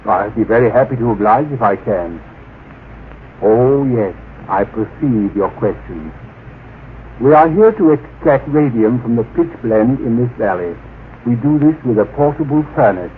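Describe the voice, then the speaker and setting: An actor playing a haughty alien officer in a radio drama, speaking with formal, precise and condescending diction. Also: male voice, medium pitch, lively intonation, moderate pace, close to an everyday voice. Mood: cool, condescending. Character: haughty male alien officer, coolly contemptuous of humans. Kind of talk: old-time radio drama acting. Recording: tinny, noisy vintage radio recording.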